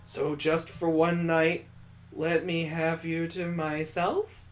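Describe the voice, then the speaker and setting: A man's voice: on the high side, steady tone, takes it slowly. Man voicing a frail, wistful young woman. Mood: wistful longing.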